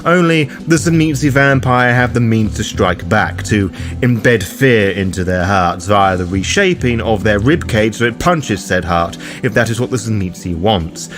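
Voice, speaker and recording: A man's voice: fairly low pitch, a little slow. A man narrating scripted game lore as a guide's host, in a formal, theatrical storyteller's manner. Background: music under the voice.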